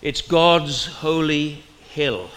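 Welsh preacher in a reverberant hall. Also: male voice, a little high, drawn-out words, a bit bright. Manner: conversational, asks the listeners questions. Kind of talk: sermon.

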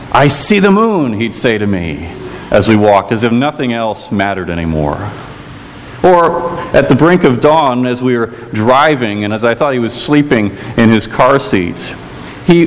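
Earnest preacher with a Chicago accent. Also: male voice, mid-range voice, big-room sound, slight background noise. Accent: American, Chicago. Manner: storytelling, gentle and earnest, with filler "uh"s. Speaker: guest preacher, theology lecturer. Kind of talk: sermon. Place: church.

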